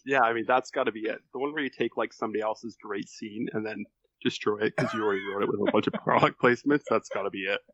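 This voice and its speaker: Man, lowish pitch, casual shrugging podcast guest, offhand delivery, slightly muffled recording. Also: fast, a bit bright, no echo. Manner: noncommittal, offhand. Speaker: podcast guest, fan fiction author. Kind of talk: casual chat.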